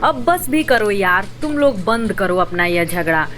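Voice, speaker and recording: A woman's voice: medium pitch, lively intonation, a little slow, a bit bright, faint music behind. A woman playing a sensible, well-informed young working woman with worries in a scripted radio drama, explaining things earnestly and bossing her friends. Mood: exasperated. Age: young adult.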